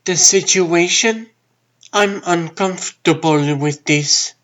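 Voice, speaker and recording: A woman's voice: fairly low pitch, slow, dull audio. A woman acting a wary, forthright character in a superhero comic dub. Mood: wary, displeased.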